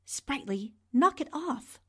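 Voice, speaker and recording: Woman acting newspaperwoman, 1940s radio drama, slightly high pitch, level-headed, skeptical, probing. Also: lively intonation, slow. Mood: wary.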